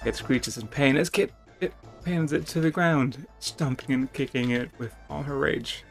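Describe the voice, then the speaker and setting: A man's voice: mid-range voice, moderate pace, creaky. A man reading a text game aloud as theatrical narration, stumbling and rereading now and then, with casual asides. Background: music.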